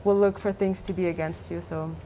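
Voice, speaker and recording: A woman's voice: on the low side, steady tone, brisk, mellow, a little hiss or hum, poor audio. A young woman from Lebanon, a student chef, talking candidly about kitchen work on a live cooking show. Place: large teaching kitchen studio.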